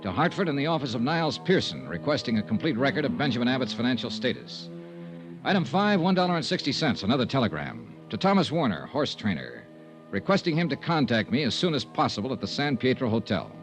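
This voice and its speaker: An actor playing a self-assured insurance investigator in a 1950s radio detective drama, speaking in a clipped, dry, sardonic way. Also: male voice, mid-range voice, big pitch swings, fast talker, over music. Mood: wry narration. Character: hard-boiled wry male insurance investigator, self-assured. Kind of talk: 1950s radio detective drama acting and first-person narration.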